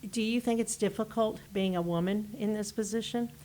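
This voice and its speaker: A woman's voice: medium pitch, fairly even intonation, a little fast, mellow, slight background noise. A woman interviewing a guest in a friendly, agreeable way. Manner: friendly, laughs often, agreeable. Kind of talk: casual interview.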